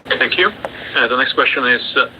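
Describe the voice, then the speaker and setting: A man's voice: mid-range voice, normal speed. A man moderating an investor call, reading out prepared questions. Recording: dull, noisy conference call audio.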